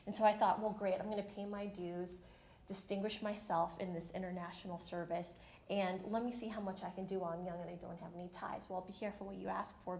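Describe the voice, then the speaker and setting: A woman's voice: on the low side, darkish tone, echoey room. A woman in her thirties from the Philippines, telling her career story on a panel in a candid, self-deprecating way. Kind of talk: panel discussion. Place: university event room.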